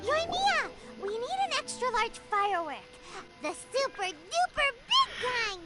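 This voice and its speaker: A woman voicing a tiny, excitable, childlike floating companion in a video game, cutesy and exclamatory. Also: very high-pitched, unhurried, music in the background, exaggerated voice. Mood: eager. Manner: exclamatory, cutesy, refers to herself by name. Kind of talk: English video game voice acting.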